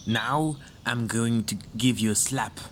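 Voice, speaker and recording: A man's voice: medium pitch, slow, natural voice. Man as a taunting forest monster, outdoor noise behind. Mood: menacing. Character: big cynical forest monster, boastful and menacing. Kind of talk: amateur story dramatization.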